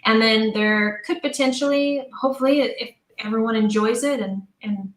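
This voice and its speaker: A middle-aged woman chatting casually as an interview guest, telling stories in an animated way and acting out bits of quoted dialogue. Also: on the high side, moderate pace. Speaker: interview guest, audio drama creator. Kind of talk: casual conversational storytelling. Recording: roomy, echoing sound.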